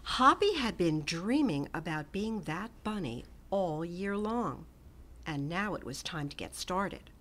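A woman reading a storybook aloud to children in a gentle, expressive way. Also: on the low side, slight background noise. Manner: gentle, expressive, slow and lively. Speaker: storybook reader.

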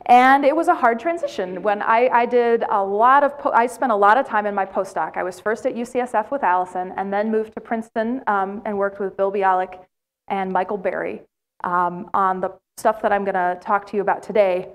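A middle-aged woman with an American accent speaks in a large lecture hall, caught by a camera at some distance. She lectures in an animated, storytelling way and breaks off to ask the audience questions. Her pitch sits in the middle of the usual range for a woman. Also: fairly quick. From United States. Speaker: plenary speaker.

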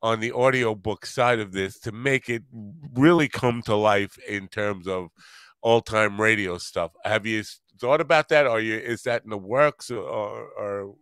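Male host, American, middle-aged. Smooth, fairly low voice, rambling casual chat, close and dry on the mic. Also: a little slow, fairly bright tone, dry recording. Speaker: podcast host. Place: home studio. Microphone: microphone on stand close to mouth, headset.

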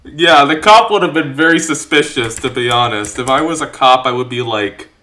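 A man's voice: mid-range voice, moderate pace, pushed and bright. A young man giving casual, joking reaction commentary as a video host in a small home room. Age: young adult. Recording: echoey room sound.

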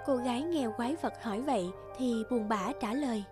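A woman's voice: a little high, brisk. A woman narrator reading a scripted fairy tale in a storytelling style. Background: music.

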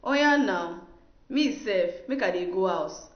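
A woman's voice: medium pitch, lively intonation, slow pace. A woman with a Nigerian accent playing a young village woman in a Pidgin radio drama. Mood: teasing. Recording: muffled, roomy, music behind.

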